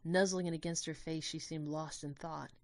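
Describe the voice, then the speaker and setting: A woman giving a dramatic first-person narration of a scripted story, in a measured, literary and expressive way. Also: low-pitched, no room sound.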